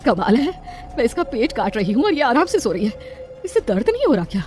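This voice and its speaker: A woman's voice: a little high, sing-song, fast, darkish tone, soft background music. A woman playing an anxious but brave village aunt in a horror cartoon, urgent and pleading. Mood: nervous resolve. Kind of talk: Hindi horror cartoon voice acting.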